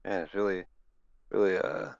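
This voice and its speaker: Deep, creaky, hesitant man on a dull mic. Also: normal speed, dry recording. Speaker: new podcast crew member. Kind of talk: casual chat. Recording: dull, muffled voice-chat mic.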